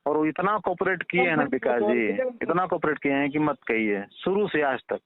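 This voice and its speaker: A man's voice: on the high side, lively intonation, normal speed. A man with a Bihari accent in a casual phone conversation, speaking in a conciliatory way and repeating himself for emphasis. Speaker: father of a child at the centre of a public appeal. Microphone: phone call. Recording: tinny, narrow-band phone line recording.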